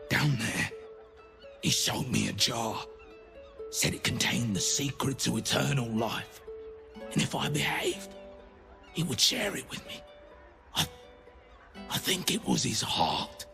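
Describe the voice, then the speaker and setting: An actor voicing a frightened, bitter undead servant in a video game, halting and emotional as he pleads for his life. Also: fairly low pitch, creaky. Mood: haunted, confiding. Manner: halting, anxious, emotional delivery. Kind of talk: video game dramatic acting.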